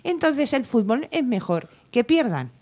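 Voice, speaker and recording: A woman's voice: a little high, big pitch swings, unhurried, a little mellow. A woman in casual group chat, talking at length to argue her point.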